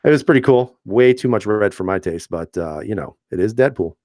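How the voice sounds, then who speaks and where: Man, deep voice, casual live-stream host, relaxed chatty delivery, dry close-miked sound. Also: brisk, dry recording. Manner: relaxed, chatty, laughs often, many fillers and restarts. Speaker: channel host reading live chat.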